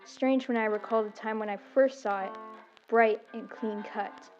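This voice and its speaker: A woman playing a reflective professor in a radio drama, narrating a survivor's chronicle in a solemn, measured way. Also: on the high side, steady tone, a little mellow, lightly acted. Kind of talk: dramatic radio play monologue. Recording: dull lo-fi sound with music behind.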